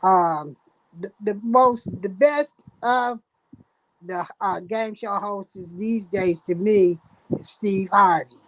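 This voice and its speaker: An older woman from Ohio talks in an easygoing, halting way, with lots of fillers and restarts. Her voice sits in a middle pitch range. The sound is dry and close, with no room echo. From Dayton, Ohio, USA. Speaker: guest, host's mother. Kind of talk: casual chat.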